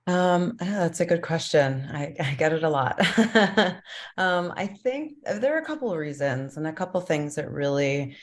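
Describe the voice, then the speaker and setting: Colombian-born woman director, really low voice, lots of ums, thinking out loud. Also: lively intonation, normal speed. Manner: thoughtful, many ums and restarts. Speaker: documentary director. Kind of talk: panel conversation.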